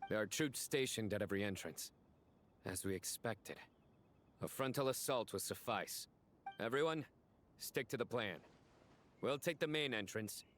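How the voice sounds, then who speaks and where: A man's voice: on the low side, expressive, normal speed, long pauses, slight background noise. An actor voicing a stern, righteous male enforcer in a video game, speaking with terse authority. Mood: commanding, grave. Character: stern commanding male enforcer leading an assault, grave and righteous. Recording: loud music over the voice. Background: loud game music, battle smashes.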